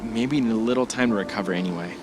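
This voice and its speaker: A man's voice: lowish voice, fast, close to an everyday voice. A man playing a worried but supportive husband in an amateur audio drama, hesitant and reassuring. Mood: subdued, tender. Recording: clearly noisy amateur recording.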